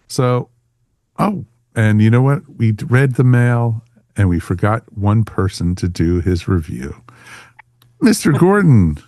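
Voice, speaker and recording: A man's voice: on the low side, sing-song, measured pace, darkish tone, dry recording, clean studio sound. A man hosting a podcast in a casual, chatty, informal way. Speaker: podcast host.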